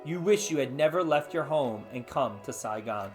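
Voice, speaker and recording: A man's voice: mid-range voice, expressive, moderate pace, faint music behind. A man hosting a casual read-aloud of an interactive storybook, with informal, chatty asides to his listeners. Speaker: host reading aloud.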